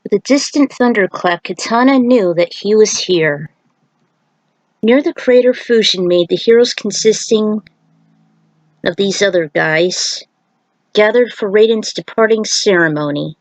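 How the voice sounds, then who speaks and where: A woman's voice: mid-range voice, unhurried, no echo, rough recording. A woman reading a fan fiction story aloud as an amateur narrator.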